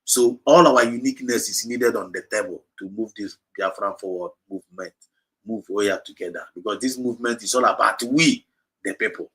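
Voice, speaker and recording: A man's voice: lowish voice, normal speed, a bit bright, slightly rough, rough recording. A man with a Nigerian accent hosting a livestream, exhorting his listeners and slipping between English and Igbo as he repeats his points. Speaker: livestream host. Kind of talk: impassioned livestream talk.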